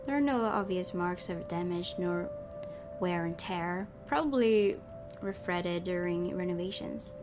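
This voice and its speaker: A woman's voice: fairly low pitch, a little slow. A woman hosting a gaming video, reading visual novel narration aloud with casual asides. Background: game music.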